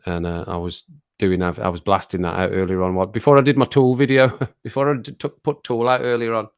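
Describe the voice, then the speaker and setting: A man hosting a casual livestream, his voice a bit croaky from singing, chatting to viewers at home and laughing often. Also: lowish voice, little pitch movement, fairly quick. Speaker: livestream host reading chat. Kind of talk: casual chat to a live audience. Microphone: home streaming mic with compressor.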